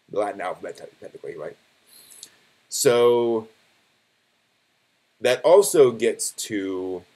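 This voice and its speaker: A man hosting a live stream, talking casually and conversationally, thinking aloud in a halting way. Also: lowish voice.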